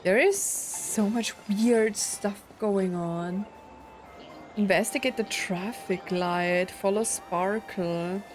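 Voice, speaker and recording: A woman's voice: medium pitch, slow pace. A woman streaming a video game with casual commentary, thinking aloud and reading on-screen text out. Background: video game music and dialogue.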